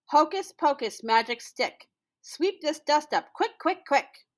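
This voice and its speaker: Folktale hyena magician, voiced by a woman, high-pitched, issuing spells in an emphatic singsong chant. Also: lively intonation, slow. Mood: commanding. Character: secretive hyena chanting magic commands to a stick.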